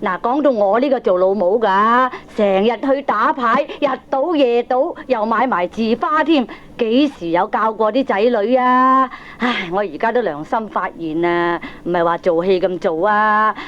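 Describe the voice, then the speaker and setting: A woman's voice: a little high, measured pace, few pauses, a bit bright. An actress with a Hong Kong accent playing an earnest, repentant middle-aged mother, chatty and effusive in a radio drama. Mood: remorseful, grateful. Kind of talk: Cantonese radio drama acting. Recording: dull old cassette radio drama recording.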